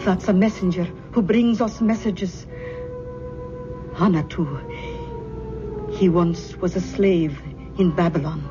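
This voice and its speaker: An actress playing an earnest older Scottish aunt who holds seances, in an old radio horror drama. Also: female voice, on the low side, fairly even intonation, slow pace, pauses often. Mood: solemn, entranced. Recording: dull old radio, music behind.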